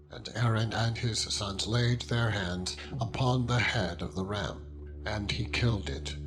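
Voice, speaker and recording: A man with a fairly low voice reads scripture aloud as a narrator. His delivery is very slow and solemn, with measured phrasing suited to a dramatized Bible reading. Prominent plucked-string music plays behind him throughout. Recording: voice over loud background music. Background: loud plucked-string instrumental music.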